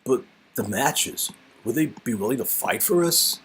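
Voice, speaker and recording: A man's voice: medium pitch, lively intonation, unhurried, a bit bright, slightly rough. A man casually performing game dialogue in a hesitant, rambling, comic way, often repeating words. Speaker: performer reading game script.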